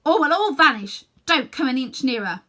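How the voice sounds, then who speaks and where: A woman's voice: high voice, very animated, moderate pace, sharp tone, voice pushed up, exaggerated voice. Storybook schoolboy hero, read by a woman: earnest, direct, stubborn. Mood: alarmed, defiant. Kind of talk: children's storybook character reading.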